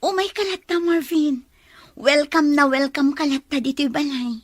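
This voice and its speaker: A Filipino woman playing a soft-hearted, lovestruck young woman in an audio drama, speaking in an earnest, pleading way. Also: high-pitched, fairly even intonation, moderate pace, no echo, clean studio sound, lightly acted. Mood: eager, sweet. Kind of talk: emotive audio drama acting.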